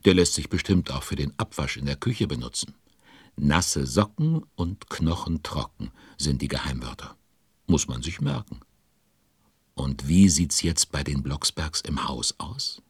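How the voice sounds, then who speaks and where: A man's voice: very deep voice, animated, a little slow, husky, no room sound, clean studio sound, exaggerated voice. A man playing a jovial, chatty storyteller who addresses young listeners directly in a children's audio drama.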